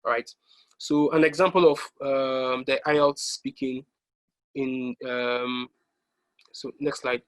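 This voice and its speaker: A young man from Ghana presenting an online exam-prep workshop over slides, pausing often with ums and restarting his sentences. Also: mid-range voice, steady tone. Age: young adult. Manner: hesitant, many ums and restarts.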